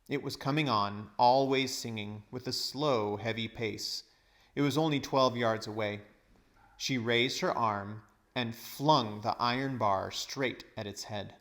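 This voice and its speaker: A man reads a storybook aloud in a medium-pitched voice. His narration is measured and expressive, like a teacher reading to a class.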